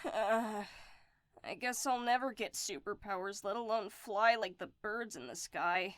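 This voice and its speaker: A woman voicing an excitable, insecure twelve-year-old boy who likes to scheme, in a cartoon. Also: mid-range voice, measured pace, dry recording, lightly acted. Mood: dejected. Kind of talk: cartoon voice acting.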